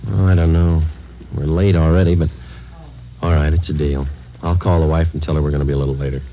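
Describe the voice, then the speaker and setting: An American man playing a terse, businesslike police sergeant in an old radio drama, deadpan and matter-of-fact. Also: very deep voice, fast, a little hiss or hum. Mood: casual. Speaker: police detective lead and narrator. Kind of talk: 1940s-50s radio drama acting, clipped narration. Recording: dull old-time radio recording, music behind.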